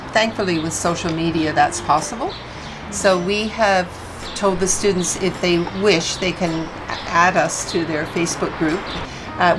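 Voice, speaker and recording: A woman from Canada with a Newfoundland accent, talking reflectively in a casual interview, with frequent pauses and fillers. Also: on the low side, background noise. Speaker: interviewee, volunteer. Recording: music bed behind voice.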